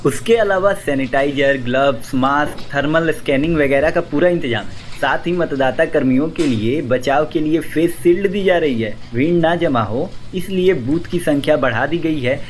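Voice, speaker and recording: A man's voice: on the high side, normal speed, hardly any pauses, natural voice. An opinionated young man played by an actor in a radio play, blunt and fond of teasing. He talks to his office friends in a lecturing way. There is faint noise and ambience behind him. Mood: assured, explanatory. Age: young adult. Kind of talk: scripted radio drama acting.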